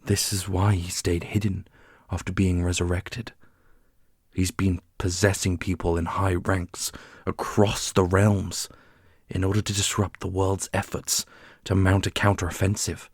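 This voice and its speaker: A man playing a solemn, strategic warrior leader in a fantasy audio roleplay, speaking in a formal, measured way. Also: low-pitched, level tone, breathy edge, no room sound, clean studio sound, exaggerated voice. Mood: grave.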